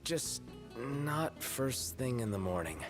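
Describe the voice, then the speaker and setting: Dry, casual young American prince. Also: male voice, medium pitch, very animated, takes it slowly, over music. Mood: dryly teasing. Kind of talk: video game cutscene voice acting.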